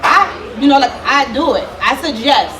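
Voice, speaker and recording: A woman's voice: high-pitched, animated, moderate pace. A woman in the audience replying casually and insistently, repeating herself as she explains her side. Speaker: audience participant seeking advice. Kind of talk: casual, spontaneous replies. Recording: distant, echoey, with crowd behind.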